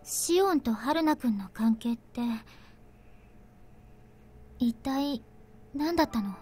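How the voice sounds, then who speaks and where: High-voiced, earnest young anime woman. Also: animated, normal speed, dark tone, husky, faint music behind. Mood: questioning. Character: earnest young woman, tender, quick to hurt and anger. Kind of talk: Japanese visual novel voice acting.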